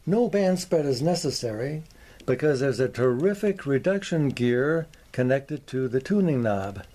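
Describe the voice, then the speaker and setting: A man hosting a workshop video, presenting and explaining in a measured, orderly way. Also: medium pitch, lively intonation, dark tone. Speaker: host of a workshop video on antique radios.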